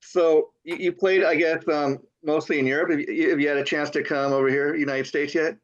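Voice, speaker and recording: A man's voice: fairly high pitch, steady tone, a little fast. An older man hosting an interview, stammering and repeating words with frequent enthusiastic wows. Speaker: TV interview host. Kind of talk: casual interview talk. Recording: dull lo-fi sound.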